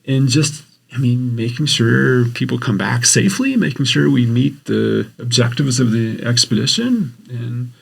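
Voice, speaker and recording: A man, a geography professor, talking in a podcast interview in an earnest, explanatory way, often pausing to rephrase. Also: mid-range voice, gravelly, slightly rough. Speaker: geography professor and expedition researcher.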